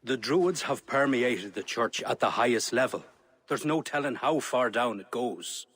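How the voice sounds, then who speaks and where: A man playing a grave, distrustful adviser at a royal court in a video game, with a husky voice. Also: mid-range voice, expressive, measured pace, fairly bright tone. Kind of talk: dramatic video game acting.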